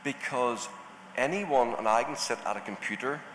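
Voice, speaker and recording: A man from Northern Ireland with a Northern Irish accent, speaking deliberately as a panelist at a conference. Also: mid-range voice, fairly bright tone, slight background noise. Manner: deliberate, enunciating carefully. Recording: echoey hall with faint ambience.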